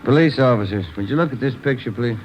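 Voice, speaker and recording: An actor playing a plain-spoken, grumbling American police detective in a 1940s radio crime drama, casual and laconic. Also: male voice, mid-range voice, fast talker, lightly acted. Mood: dry. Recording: noisy muffled old radio recording.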